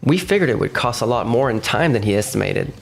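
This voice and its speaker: A man voicing a calm, businesslike young male realty manager in a fan manga dub, who also narrates, measured and firm. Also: medium pitch, vocal fry. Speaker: narrator and lead voice actor. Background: faint music.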